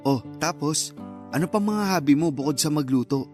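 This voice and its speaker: A man acting as a flirty suitor in a radio romance, full of flattery. His voice is notably high for a man. Faint music plays behind him. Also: normal speed, natural voice. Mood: teasing, interested. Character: flirtatious, flattering suitor chatting up a taken woman. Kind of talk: radio drama dialogue.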